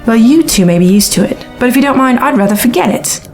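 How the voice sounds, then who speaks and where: A woman's voice: fairly high pitch, animated, fast talker, soft background music. An actress playing a hard-bitten, sceptical woman reporter and pilot in a science fiction audio drama. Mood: brisk. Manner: matter-of-fact, sceptical.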